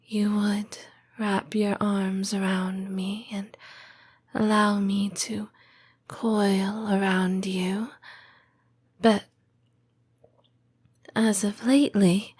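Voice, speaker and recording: Breathy, very slow, whispering lamia girlfriend played by a woman. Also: mid-range voice, darkish tone. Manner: slow, hesitant, intimate delivery with long pauses. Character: tender, lonely lamia snake-woman girlfriend. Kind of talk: soft-spoken ASMR roleplay.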